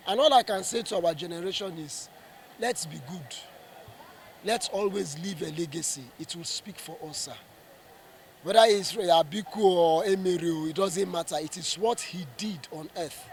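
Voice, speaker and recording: A man with a Nigerian accent, a stage actor being interviewed, explaining earnestly with emphatic, halting phrasing. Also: high-pitched.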